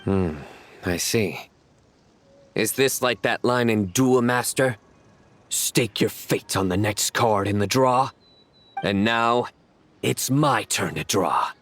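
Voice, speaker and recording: Low, earnest game official. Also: male voice, slow pace, pauses often, a bit bright, slight background noise. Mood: solemn. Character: earnest deadpan male official, avid card game fan. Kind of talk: video game voice acting.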